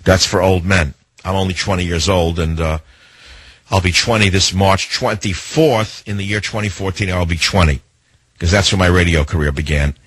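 Angry radio host guy, lowish husky voice, dry close mic, keeps asking the audience questions. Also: steady tone, fairly bright tone, dry recording. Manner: indignant, emphatic, rhetorical questions; slows down to hammer lists and key phrases. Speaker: radio talk show host. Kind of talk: talk radio monologue.

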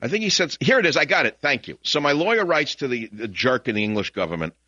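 An older man with a Bronx New York accent hosting a talk radio show, ranting combatively and swinging between muttering and shouting into a studio mic. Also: medium pitch, animated, fast talker, no echo. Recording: dull, lo-fi, dry broadcast audio.

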